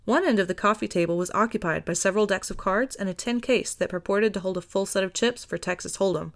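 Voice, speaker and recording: A woman's voice: mid-range voice, fast. A woman reading scripted fiction as an audiobook narrator.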